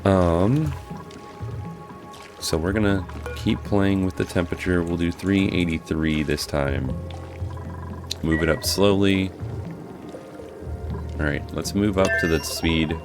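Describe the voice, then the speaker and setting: A hoarse man casually talking through his gameplay as a guide host, thinking aloud as he plays. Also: deep voice, slow pace, pauses often. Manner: thinking aloud, relaxed, restarts. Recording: music playing behind.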